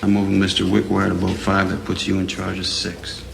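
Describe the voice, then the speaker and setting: An actor playing a tough, exacting military instructor in a film drama, blunt and commanding. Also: male voice, low voice, monotone, brisk, vocal fry, fairly bright tone, slight background noise, exaggerated voice. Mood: stern, challenging.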